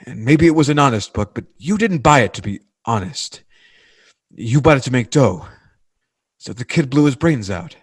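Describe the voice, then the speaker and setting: A plain-spoken North American working man from a 1940s radio drama, played by a male actor. He gropes for words in slangy, halting phrases, earnest and indignant about the rich. The sound is dry and close. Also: medium pitch, animated, husky, no room sound. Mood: bitter accusing.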